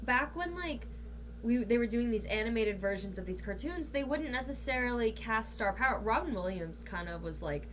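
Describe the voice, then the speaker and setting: A woman's voice: a little high, lively intonation, normal speed, fairly bright tone. A woman chatting casually as a podcast guest, speaking bluntly and swearing freely. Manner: blunt, profane. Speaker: guest, amateur voice actor. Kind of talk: casual chat. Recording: audible background noise and hum.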